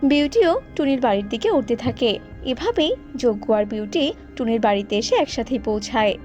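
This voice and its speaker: A woman's voice: on the high side, lively intonation, normal speed, darkish tone, highs cut off, faint music behind. A woman narrating a scripted children's cartoon story.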